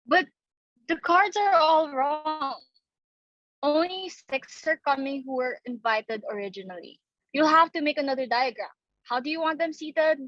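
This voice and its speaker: A woman's voice: sits high, measured pace, fairly bright tone, no echo, lo-fi sound. A woman playing a timid, meek young daughter with a hesitant, mild manner in a dramatic reading of a stage comedy. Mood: meek, helpful.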